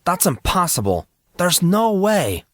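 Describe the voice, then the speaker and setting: A man voicing an excitable, stubborn teenage schoolboy, bantering in casual slang in an audio drama. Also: high voice, unhurried, no room sound, clean studio sound, pitched above the performer's own voice. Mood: insistent.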